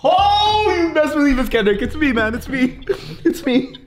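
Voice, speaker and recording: A man in his twenties in animated, joking chat, often repeating his phrases. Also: very high-pitched, normal speed. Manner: repeats himself. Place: large living room, indoors. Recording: roomy living room sound, music behind.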